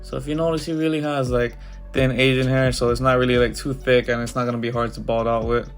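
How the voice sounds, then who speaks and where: A man's voice: medium pitch, little pitch movement, fast, soft background music. Congested man narrating a barber tutorial. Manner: casual, loose, filler-heavy walkthrough. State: congested from a cold.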